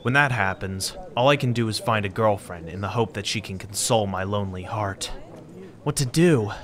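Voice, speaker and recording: A man's voice: lowish voice, moderate pace, fairly bright tone, close to an everyday voice. A man voicing an anxious, lonely teenage schoolboy in a dramatic horror manga reading. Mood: eager, awkward.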